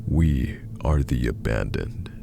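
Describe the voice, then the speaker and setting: An undead creature from a horror story speaks in an extremely low voice, acted out by a male narrator. It is gravelly, grave and slow, with compassion and menace under the despair. Music plays clearly behind it. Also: animated, full character voice. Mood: grave, compassionate.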